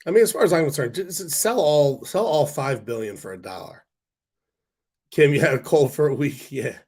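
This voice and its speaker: A man hosting a casual stock-market livestream, hoarse from a cold, rambling with fillers and restarts while answering viewer comments. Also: fairly high pitch, lively intonation, moderate pace, a little mellow. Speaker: stock stream host.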